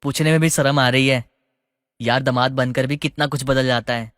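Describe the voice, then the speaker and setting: An eager, bashful young newlywed husband from a storybook tale played by a man. His pitch is average, and a long reverb trails the voice. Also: fairly even intonation, moderate pace, a bit bright, clean studio sound, lightly acted. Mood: flustered.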